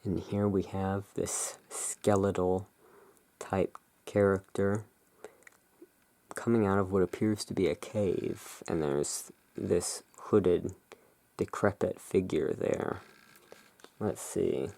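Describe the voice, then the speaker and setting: A soft-spoken ASMR host with a low-pitched, creaky voice. The delivery is very slow and hushed, drifting between gentle musing and measured reading, as part of a relaxing roleplay. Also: steady tone. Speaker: host, playing curiosity emporium owner.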